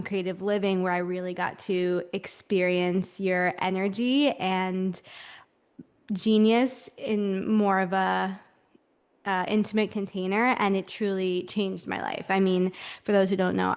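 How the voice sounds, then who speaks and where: A woman's voice: medium pitch, clear, resonant tone. A woman hosting a podcast, talking in an effusive, gushing way to her guest. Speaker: podcast host. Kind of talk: scripted intro then casual interview.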